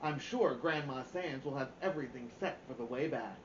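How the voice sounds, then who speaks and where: A man voicing a brisk, organized mother who announces road-trip plans with emphasis in a storybook. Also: medium pitch, close to an everyday voice. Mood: organized, upbeat. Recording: roomy, dull, faint background noise.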